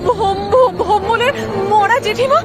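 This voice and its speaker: A terrified village mother in a ghost cartoon, shrieking at an extremely high pitch. She stammers in panic under loud music and a din of crowd noise. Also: normal speed. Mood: panicked, breathless. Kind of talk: cartoon voice acting.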